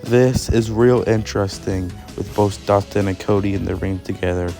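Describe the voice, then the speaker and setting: A man's voice: on the low side, steady tone, moderate pace, creaky, dark tone, faint music behind. A man doing pro wrestling commentary and introductions, drawing out his phrases as he announces.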